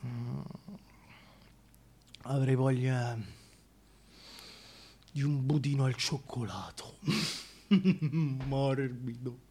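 Vain tyrant king, high bright voice, declamatory, self-pitying, contemptuous, radio theatre monologue, music behind. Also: creaky, heavily acted. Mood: hushed, dreamy. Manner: declamatory, volatile, contemptuous, swinging from hushed to shrill.

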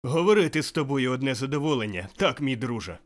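A man's voice: mid-range voice, lively intonation, fast, pitched above the performer's own voice, close to an everyday voice. A man voicing an old miser turned overjoyed and giddy, exclaiming breathlessly in an audiobook. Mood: eager. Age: older. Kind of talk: audiobook character voice.

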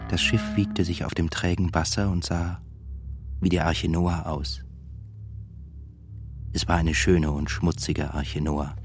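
A male actor playing a disillusioned, lonely composer, narrating his thoughts in a measured, literary, brooding way for a radio play. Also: sits low, flat delivery, soft background music. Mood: brooding, reflective.

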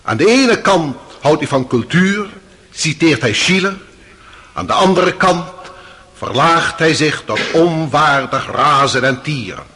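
An actor playing a stern, solemn public prosecutor giving grave, rhetorical courtroom oratory in a radio drama. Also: male voice, on the high side, expressive, measured pace, pushed and bright, slightly rough, full character voice. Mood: solemn, accusing.

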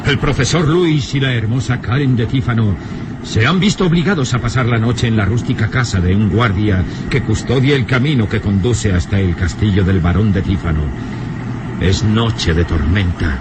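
A man performs as an ominous narrator from an old adventure radio serial. His low voice is emphatic and full of suspense. The recording is very noisy, with ambient effects behind him. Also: normal speed, natural voice.

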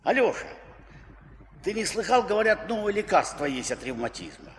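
A man's voice: sits high, very animated, normal speed. An actor in a Russian radio play playing a kindly, folksy older seaman who rambles and encourages. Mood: kindly, musing. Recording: old radio play, reverberant, faint noise, ambience.

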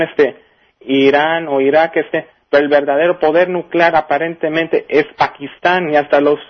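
A man from northern Mexico with a local accent, calling a radio show and speaking casually and haltingly, with many filler words. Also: a little high. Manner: hesitant, heavy use of filler words. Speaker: radio caller. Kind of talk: casual call-in comment. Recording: dull lo-fi call audio.

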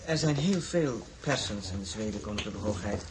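A man's voice: lowish voice, animated, normal speed, close to an everyday voice, rough recording. An actor playing a formal, reserved nobleman at court in an audio drama. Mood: stiff, polite. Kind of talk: Dutch audio drama acting.

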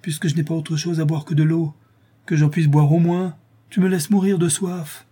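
A man's voice: medium pitch, normal speed, warm tone, slightly rough, natural voice. A man voicing a feverish, complaining wife in a storybook, with a plaintive, impatient manner. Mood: impatient, doleful.

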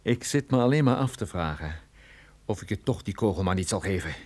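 An actor playing a tough, sardonic private detective in a radio drama, menacing and dryly sarcastic. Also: male voice, deep voice, animated, a little fast, darkish tone. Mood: cold, menacing. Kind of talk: radio drama acting with first-person narration.

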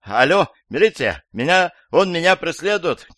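A man's voice: mid-range voice, lively intonation, fairly quick, a bit bright, no echo, clean studio sound, voice pushed up, close to an everyday voice. A man voicing a frightened, frantic elderly woman in an audiobook, crying out in panic. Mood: panicked. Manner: panicky, breathless rattling outcries.

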